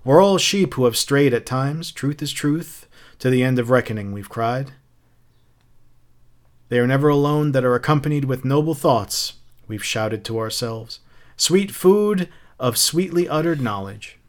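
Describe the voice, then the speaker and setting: A man's voice: medium pitch, animated, a little slow, lightly acted. A man voicing a smug, taunting fire captain in a story, mocking and theatrical as he spouts literary quotations. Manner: theatrical, mocking, quote-laden speech.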